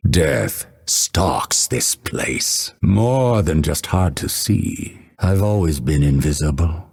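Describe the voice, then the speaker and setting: A man voicing a vengeful exiled assassin in a video game, grim and proud, with a raspy, theatrical, ominous delivery. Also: rumbling low, a little mellow, heavily acted. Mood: quietly sinister. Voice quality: gravelly, raspy. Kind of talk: dramatic video game voice lines.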